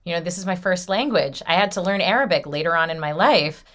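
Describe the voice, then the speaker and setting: A woman's voice: on the low side, fast talker, fairly bright tone. A woman rabbi hosting an interview over a video call, asking questions tentatively and chatting in a casual, unpolished way. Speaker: rabbi and event host.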